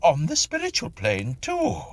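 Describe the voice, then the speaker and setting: Guy doing a wheedling lady séance fraud for a storybook, pitched way up, whimpery and pleading. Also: wildly swooping pitch, measured pace, no room sound, heavily acted. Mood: startled. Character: sly middle-aged female fraudulent medium, whimpering and pleading.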